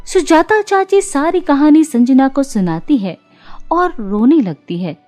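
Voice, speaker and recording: Female bedtime-story narrator, high and very animated, expressive and deliberate, music underneath. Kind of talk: scripted children's story narration.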